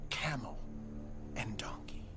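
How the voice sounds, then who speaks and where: An actor playing a stern, solemn prophet delivering God's command in a dramatised audio Bible. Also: male voice, lowish voice, big pitch swings, in a whisper, music in the background. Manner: slow, deliberate, solemn.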